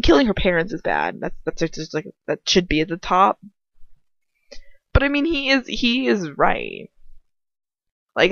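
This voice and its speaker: Blunt, sarcastic low-voiced woman, lo-fi sound. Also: dry recording. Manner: blunt, sarcastic, exasperated, swears freely. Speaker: amateur fan fiction reader and commentator. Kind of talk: casual, rambling commentary on a story. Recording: dry, dull lo-fi home recording.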